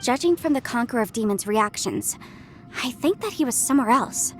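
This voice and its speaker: A composed young heroine played by an actress, with a fairly high voice and formal, careful phrasing. She sounds polite and analytical, like an anime game adventurer, with music playing behind her. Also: expressive, close to an everyday voice. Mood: earnest, serious. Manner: formal, measured, deliberate phrasing.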